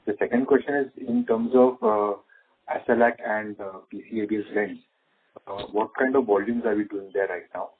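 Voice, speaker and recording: A man's voice: fairly low pitch, normal speed, slightly rough. A man, an analyst asking questions on an earnings call. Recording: narrow phone-like line.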